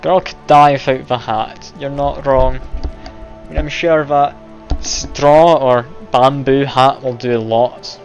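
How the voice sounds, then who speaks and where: A man's voice: medium pitch, fairly even intonation, slow. Chatty streamer man over game music. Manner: chatty, self-deprecating, laughs often. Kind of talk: casual live game commentary. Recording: dull lo-fi stream audio, game music behind.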